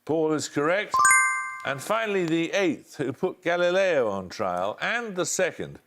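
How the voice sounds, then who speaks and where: A man hosting a televised quiz, reading questions and calling answers in a brisk, authoritative way. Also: a little high, sing-song, over loud music. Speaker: quiz show host.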